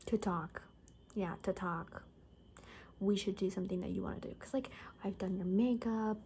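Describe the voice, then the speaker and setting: Woman acting a gossipy compound survivor, soft ASMR roleplay, mellow breathy half-whisper, casual rambling, faint background noise. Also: medium pitch, fairly even intonation, moderate pace, natural voice. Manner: rambling, casual, gossipy, full of filler words. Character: chatty young woman in zombie apocalypse compound, gossipy friend.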